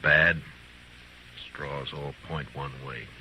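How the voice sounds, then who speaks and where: An actor playing a stern, weary middle-aged marshal in an old Western radio drama, speaking tersely and gravely on a slightly noisy recording. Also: male voice, a bass voice, steady tone, very slow, exaggerated voice. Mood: somber.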